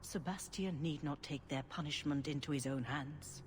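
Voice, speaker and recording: An actress playing an elderly female grand cleric in a game, speaking in a measured, formal, gently authoritative way. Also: deep voice, lightly acted. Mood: solemn counsel. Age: older. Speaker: senior cleric. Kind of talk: dramatic game dialogue. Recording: clearly noisy ambience behind voice.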